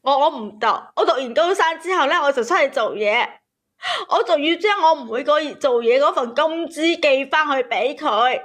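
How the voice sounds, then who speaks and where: High voiced woman phoning in w her personal story, plaintive n kinda aggrieved. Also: expressive, moderate pace, a bit bright. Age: 30s. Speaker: caller.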